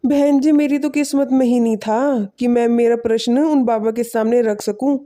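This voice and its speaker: A woman voicing a humble, selfless poor village man in an animated moral story, speaking politely and earnestly. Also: fairly high pitch, moderate pace, natural voice. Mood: humble, modest.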